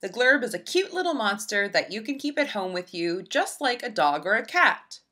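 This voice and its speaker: Animated, bright-voiced woman reading aloud. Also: medium pitch, moderate pace. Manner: animated, emphatic, storybook delivery. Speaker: librarian reading a picture book aloud. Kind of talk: expressive children's read-aloud narration.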